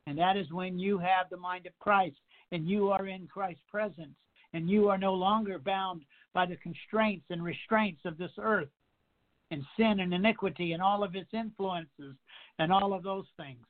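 A man in his sixties preaching a radio sermon, stacking up repeated phrases and emphatic rhetorical questions. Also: high-pitched. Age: 60s. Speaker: pastor. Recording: tinny phone line.

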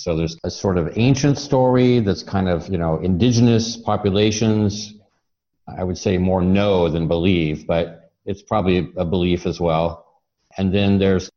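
A man's voice: low-pitched, mellow. A man hosting a conversational interview, thoughtfully building long, searching questions piece by piece. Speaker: interviewer, show host. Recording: dull, muffled lo-fi audio.

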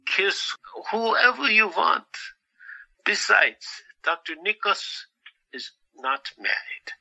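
Guy playing a teasing asylum patient in an audio drama, kinda high voice, slow and halting, swoops all over. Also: vocal fry, full character voice, poor audio. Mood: playful. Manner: animated, teasing, halting pauses. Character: playful, cheeky male asylum patient with wry melancholy.

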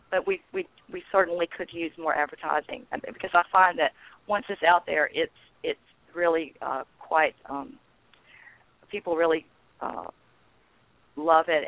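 A woman in her sixties, a guest on a radio interview, talking casually and haltingly with many ums and restarts. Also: on the low side, creaky, no room sound. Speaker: guest, founder of a peace network. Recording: tinny phone line.

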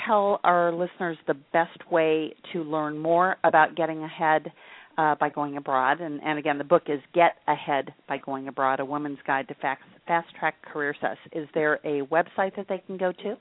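A woman's voice: sits low, moderate pace, dry recording. A woman hosting an interview, chatting and telling stories in a loose, talkative way with many restarts. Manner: chatty, storytelling, frequent restarts. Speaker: host. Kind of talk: casual interview talk. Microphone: phone. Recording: tinny phone line.

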